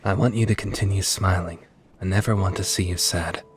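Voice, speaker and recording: Man acting a low-voiced, aloof but kind guy. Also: steady tone, brisk, slight background noise, natural voice. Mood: tender, frustrated. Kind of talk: dubbed visual novel acting.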